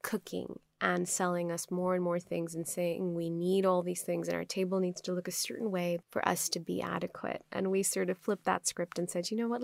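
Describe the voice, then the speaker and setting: A woman from Pakistan whose first language is Urdu speaks with a low, gravelly voice. She answers interview questions earnestly, stringing phrases together in long flowing lists. The sound is dry and close. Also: dry recording. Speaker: company founder, guest.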